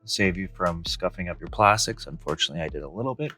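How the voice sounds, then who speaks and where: A man's voice: low-pitched, lively intonation, fast talker, pushed and bright, soft background music. A man in his thirties explaining a hands-on install step by step in a casual, plain-spoken way. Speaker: host of a DIY Jeep how-to channel. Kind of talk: casual step-by-step tutorial narration. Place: indoor garage with high ceiling, in and around a car.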